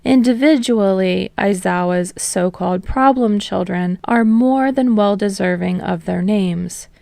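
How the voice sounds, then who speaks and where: A woman's voice: medium pitch, unhurried, creaky, mellow, dry recording. A woman reading fiction aloud as a scripted story narrator.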